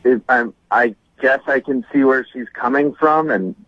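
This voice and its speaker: A man calling in over a muffled, lo-fi phone line. His voice sits at a medium pitch, and he speaks conversationally in a hesitant, awkwardly polite way. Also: moderate pace, a bit bright, no echo. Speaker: phone-in guest.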